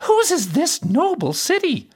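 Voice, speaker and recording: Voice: high-pitched, wildly swooping pitch, measured pace, voice pushed up, exaggerated voice. A storyteller voicing a spiteful, haughty young princess with exaggerated, theatrical exclamations for a fairy tale. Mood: haughty, curious.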